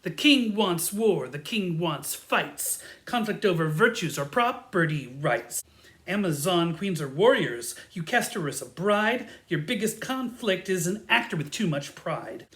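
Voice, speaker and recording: Woman acting as mischievous fairy, brash, lively pitch, rhyming sing-song, comic audio drama. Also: a little slow. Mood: brash mischief. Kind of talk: theatrical comedy audio drama.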